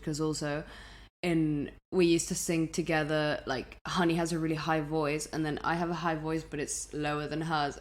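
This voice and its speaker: A woman co-hosting a podcast over a remote connection, chatting casually, laughing often and swearing freely. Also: deep voice. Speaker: podcast co-host. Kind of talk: casual chat. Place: in Italy, remote recording.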